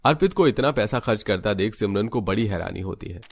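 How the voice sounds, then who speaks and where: A man's voice: on the low side, expressive, brisk, a little hiss or hum. A man reading scripted narration for a cartoon story as the storyteller.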